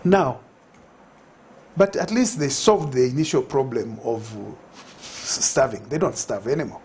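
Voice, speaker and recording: A man's voice: mid-range voice, lively intonation, unhurried, darkish tone, slight background noise. An older man with a Zambian accent, a guest in a TV studio interview, talking reflectively with many fillers and restarts. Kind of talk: conversational TV interview. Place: TV studio set. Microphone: lapel.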